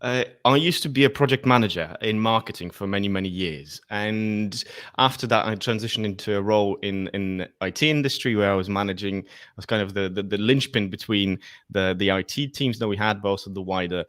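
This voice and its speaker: A man from Poland, a native Polish speaker, giving conversational interview answers in English as a guest, haltingly repeating words as he thinks. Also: on the low side, fairly bright tone, no room sound, studio quality. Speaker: interview guest, workplace culture facilitator.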